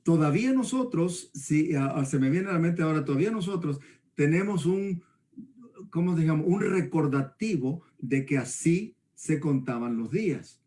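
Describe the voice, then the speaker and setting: A man's voice: on the high side, animated, normal speed, dark tone. A man from El Salvador, a pastor teaching conversationally and often checking for agreement. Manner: didactic, often seeks agreement with tag questions. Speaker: pastor discussing the Bible.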